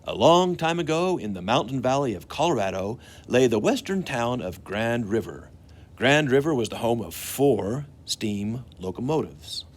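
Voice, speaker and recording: A man's voice: medium pitch, very animated, moderate pace. An American man, a librarian hosting a children's storytime, who talks to young listeners and puts questions to them. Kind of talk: children's storytime with songs and read-aloud.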